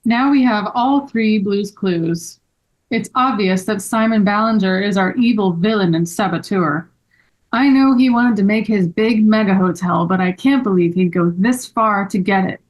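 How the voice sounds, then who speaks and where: Young mystery solver female reader: medium pitch, earnest, determined, children's table read. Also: normal speed, natural voice, low-quality recording. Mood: indignant.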